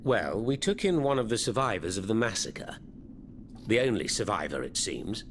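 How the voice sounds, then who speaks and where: Theatrical French revolutionary, animated swooping pitch. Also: male voice, normal speed, slight background noise. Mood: conspiratorial. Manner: theatrical, florid, eloquent. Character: theatrical, fervent male French revolutionary hiding underground. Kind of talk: video game cutscene acting.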